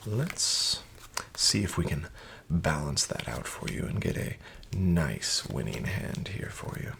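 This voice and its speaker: Male casino dealer, soft and half-whispered, gravelly and lilting, ingratiating. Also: deep voice, takes it slowly, slight background noise. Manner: soft-spoken, half-whispered, smooth and flattering salesman patter. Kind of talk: soft-spoken ASMR roleplay.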